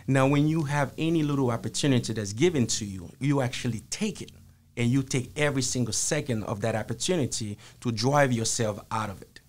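A man, a podcast guest answering in a measured, earnest way. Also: medium pitch. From Haiti. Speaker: guest, author. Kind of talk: interview answers. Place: podcast studio, indoors. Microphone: studio mic on arm, headphones.

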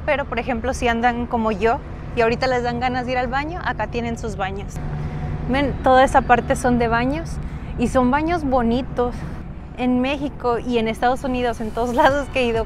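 A woman from Mexico giving a casual, slangy walking tour and chatting informally to her viewers. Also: fairly high pitch. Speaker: travel vlogger and host. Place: mostly outdoors, walking around an accommodation village.

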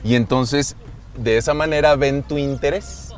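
A man with a Mexican accent, a voice actor and announcer, explaining his work in a relaxed chat as a passenger in an open-top car. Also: medium pitch, measured pace, soft background music. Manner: easygoing, explains patiently with many fillers. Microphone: car-mounted camera.

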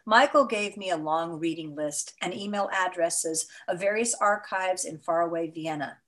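Middle-aged actress, steady expressive memoir reading, webcam sound. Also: female voice, on the low side. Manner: measured, expressive storytelling. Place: indoors in front of a mottled photo backdrop. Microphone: video call webcam.

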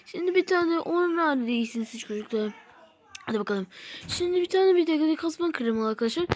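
A video game YouTuber giving rambling, exclamatory let's play commentary, often repeating phrases and talking straight to viewers. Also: high-pitched.

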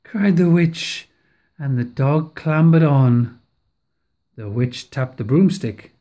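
A woman reading a picture book aloud at home in an expressive, dramatic way, recorded on a phone held over the book. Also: mid-range voice. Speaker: storybook reader.

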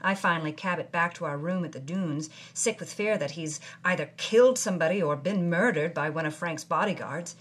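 A woman voicing a wry, husky-voiced starlet. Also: on the low side, brisk, hardly any pauses, a bit bright. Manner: wry, dramatic storytelling with period slang. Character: brassy young actress narrating her journal. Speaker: lead character narrating from her journal. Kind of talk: scripted audio drama narration.

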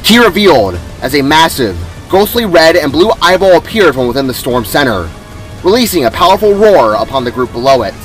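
Male narrator for dramatic fiction, slightly high voice, very expressive pitch. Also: a little fast, faint music behind, poor audio. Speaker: author narrating own story. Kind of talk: dramatic scripted story narration.

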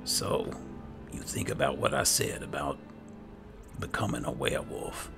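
A man giving low-key, muttered in-character commentary as he roleplays through a video game. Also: very animated, unhurried, gravelly. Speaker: gaming video host. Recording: game music under the voice. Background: video game music.

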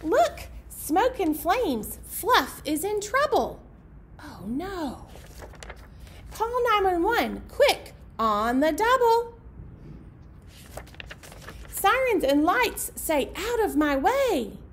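Woman in her thirties, high-pitched, very animated, children's librarian, encouraging, read-aloud style, background noise. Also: very slow, pauses often. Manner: encouraging, simple child-directed phrasing. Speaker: children's librarian, storytime host. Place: indoor library program room.

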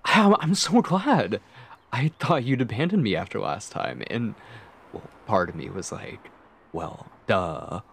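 Man voicing a flustered obsessive boyfriend, low gravelly voice, halting and stammering, intimate ASMR roleplay. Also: very animated, full character voice. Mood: relieved, flustered. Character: nervous, lovestruck, obsessive young man on a date.

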